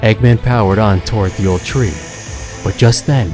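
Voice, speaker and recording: Male narrator, low pitch, dramatic scripted storytelling, music behind. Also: normal speed.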